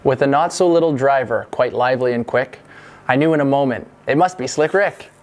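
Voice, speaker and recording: A man reading a scripted rhyming holiday poem in measured storytelling phrases. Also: medium pitch. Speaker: reader of a Christmas poem parody. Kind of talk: scripted verse narration.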